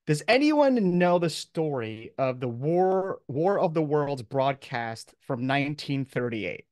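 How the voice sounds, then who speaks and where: A man's voice: mid-range voice, smooth, no room sound. Casual American male professor, very dry sound. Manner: casual, colloquial, explanatory. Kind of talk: informal online lecture. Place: video call, close dry sound.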